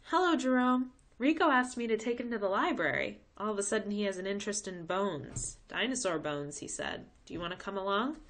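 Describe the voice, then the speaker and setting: A woman's voice: mid-range voice, expressive, fairly quick, hardly any pauses, fairly bright tone, voice pushed up, close to an everyday voice. A woman reading the part of a polite, chipper suburban mother in a children's storybook.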